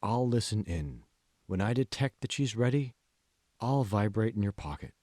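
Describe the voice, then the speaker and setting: Audiobook AI assistant, played by a man: low, slow, smug, manipulative, condescending, very dry sound. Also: expressive, a little mellow, no echo. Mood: instructing. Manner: slow, condescending, coolly controlling. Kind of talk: audiobook character voice.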